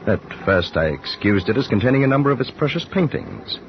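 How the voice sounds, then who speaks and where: An actor in 1940s radio drama playing a curious, polite gentleman who narrates his voyage in a measured, formal way. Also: medium pitch, very animated. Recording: muffled old radio recording with hiss.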